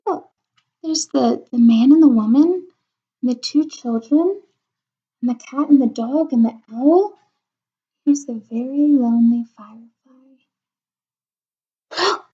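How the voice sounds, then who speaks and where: Female storyteller for young kids, high voice, expressive and wondering, chatty asides, slightly muffled lo-fi sound. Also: takes it slowly, long pauses. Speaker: read-aloud storyteller. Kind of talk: children's picture book read-aloud with chatty asides. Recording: dull lo-fi recording.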